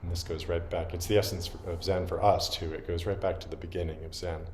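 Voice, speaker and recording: A man giving an informal dharma talk as a Zen teacher, halting and reflective, with frequent pauses. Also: low voice, flat delivery. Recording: roomy echo with faint background noise.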